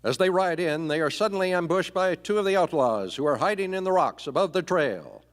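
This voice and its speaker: A man's voice: a little high, expressive, a little fast, slight background noise, natural voice. A man narrating a scripted live Western radio play in the style of an old-time serial. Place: theatre stage, orchestral music behind.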